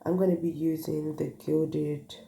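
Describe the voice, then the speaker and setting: A woman's voice: deep voice, little pitch movement, unhurried, creaky, dark tone, a little hiss or hum. A woman with a cold, sounding sniffly, thinking aloud as she gives a casual tarot card reading to viewers, recorded on a phone. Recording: echoey room.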